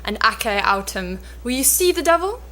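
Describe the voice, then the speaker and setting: A woman playing a cheeky, flattering young male page in a comic Elizabethan stage play. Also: on the high side, moderate pace. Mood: mischievous. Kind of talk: comic Elizabethan stage drama, cold read.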